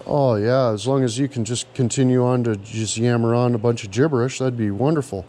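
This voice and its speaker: Sarcastic man with a mellow voice. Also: mid-range voice, brisk, slight background noise. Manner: sarcastic, needling. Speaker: prank-playing vlogger. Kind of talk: casual mocking banter with a caller. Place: workshop garage, indoors.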